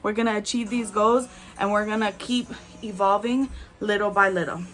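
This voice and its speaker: A woman in her twenties chatting candidly as a beauty vlogger while doing her makeup, with frequent "like"s and soft laughs. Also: mid-range voice, fairly even intonation, moderate pace. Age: 20s.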